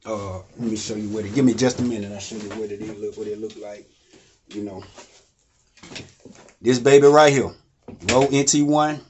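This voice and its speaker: A man's voice: fairly even intonation, moderate pace, long pauses, a little mellow, slight background noise. A man, casually explaining home studio gear in a loose, rambling way that keeps asking "you know what I mean?". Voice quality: deep voice. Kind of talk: casual how-to talk.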